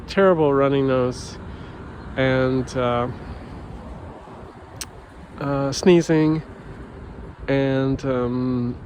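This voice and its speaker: Slow-talking sniffly man with wind noise. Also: mid-range voice, darkish tone. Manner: rambling, many fillers and restarts. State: stuffy, sniffling. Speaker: vlogger, educator. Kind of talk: casual monologue to camera.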